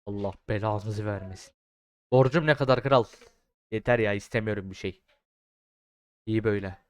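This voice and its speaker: A young man doing casual, slangy game commentary, swearing freely and repeating words in excited bursts. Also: lowish voice, moderate pace, clean tone. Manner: foul-mouthed, slangy. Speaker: gaming streamer.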